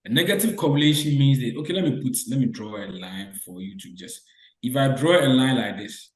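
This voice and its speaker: Un hombre imparte una clase en línea con voz de tono medio, grabado en una sala con eco. Repite las preguntas y pide respuestas breves a los estudiantes. Explica paso a paso, volviendo sobre cada idea. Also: male voice, mid-range voice, animated, brisk, echoey room. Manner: repetitive call-and-response questioning. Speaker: lecturer. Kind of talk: online biostatistics lecture.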